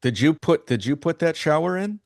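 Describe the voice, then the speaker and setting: A man hosting a video-call interview about moving abroad, effusive and quick to praise what he is shown. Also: on the high side, expressive, vocal fry, a little mellow, no room sound, clean studio sound. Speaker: host.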